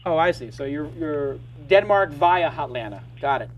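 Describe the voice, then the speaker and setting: A middle-aged man hosting a live startup show, joking and talking fast in bursts. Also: a little high, expressive, highs cut off, a little hiss or hum. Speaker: podcast host.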